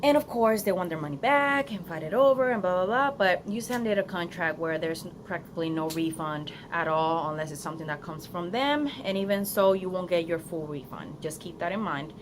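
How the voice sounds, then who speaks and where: A woman's voice: on the low side, lively intonation, fairly quick, hardly any pauses. Woman with a Spanish accent, outdoors on a phone. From Florida, United States. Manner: chatty, earnest and advising, with frequent ums and restarts. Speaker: vlogger. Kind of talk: casual unedited vlog. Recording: phone recording outdoors with clear background noise.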